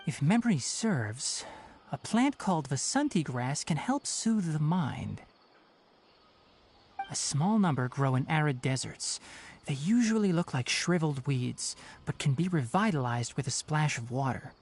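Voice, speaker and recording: A man's voice: fairly high pitch, sing-song, a little slow, darkish tone, slight background noise. A man voicing a young, knowledgeable, no-nonsense forest watcher and healer in a video game, articulate and matter-of-fact. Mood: serious, explaining. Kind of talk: English game voice acting.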